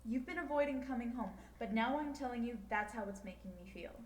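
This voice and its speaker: A woman plays an exasperated girlfriend in a roleplay drama. Her voice is a bit high and comes from a distance with heavy room echo. She argues with wounded, accusing sarcasm. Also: fast, natural voice. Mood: hurt, bitter. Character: hurt, exasperated girlfriend in a bitter breakup argument. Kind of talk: audio roleplay acting. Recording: echoey, distant memory-like sound, faint noise.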